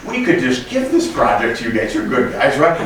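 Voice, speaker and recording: A man's voice: mid-range voice, big pitch swings, a little fast, natural voice. A man playing a scheming corporate finance chief in a comedy skit, chummy and coy, hinting hesitantly and feigning innocence. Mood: coy, tempting. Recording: echoey room, distant mic, background noise.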